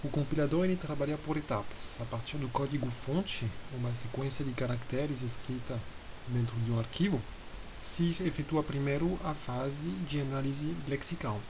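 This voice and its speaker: Foreign-accented male lecturer, hesitant and measured. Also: mid-range voice. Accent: foreign accent in Portuguese. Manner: hesitant, measured, spells things out slowly. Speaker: university lecturer. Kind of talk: recorded lecture podcast, improvised explanation. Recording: hissy lecture recording.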